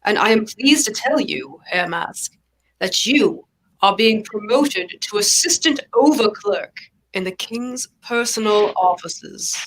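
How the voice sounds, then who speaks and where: A woman's voice: mid-range voice, animated, slow, fairly bright tone, a little hiss or hum, poor audio. A woman playing a gracious, pompous German king in a table read of a stage comedy. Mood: regal, gracious. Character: stately, gracious German king handing out rewards.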